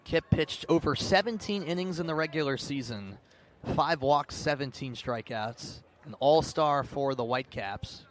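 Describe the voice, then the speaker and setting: Outdoor ambient noise sits clearly behind a man with a somewhat high-pitched voice. He is a baseball announcer calling the game live, pitch by pitch. His phrases are short and clipped, in the manner of radio play-by-play.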